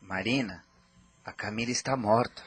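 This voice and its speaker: A man's voice: fairly low pitch, normal speed, a bit bright, soft background music, natural voice. A man playing a worried, guilt-ridden husband and father, earnest and troubled, in a radio soap opera. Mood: grim. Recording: noisy audio with ambient sound.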